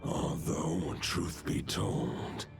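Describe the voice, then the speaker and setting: Wolf king spirit, gravelly, unhurried, grave, formal, video game dialogue. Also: extremely low pitch, over music, heavily acted. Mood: solemn, grave. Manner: grave, formal, measured pronouncements. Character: ancient, solemn great wolf king spirit.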